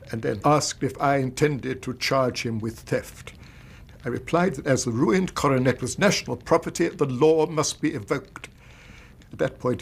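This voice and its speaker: A man's voice: medium pitch, vocal fry, husky. An actor playing a distraught middle-aged Victorian banker and father in a radio drama, formal and agitated, with an English accent.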